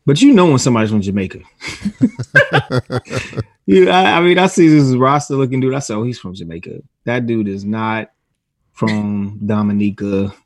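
A man's voice: medium pitch, animated, normal speed, no room sound. A man with a mid-range voice tells a joking story in casual podcast chat. The sound is dry and close.

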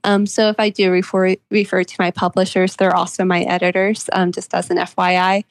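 A woman author answering interview questions in an earnest, measured, explanatory way. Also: lowish voice, flat delivery, dry recording. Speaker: guest author being interviewed. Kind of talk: reflective interview conversation.

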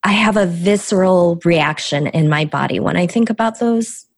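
A middle-aged woman, raised in the United States with Korean as her first language, speaks as a podcast guest, telling her story in a thoughtful, halting way with many restarts. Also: lowish voice, no echo, studio quality. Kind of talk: reflective storytelling interview.

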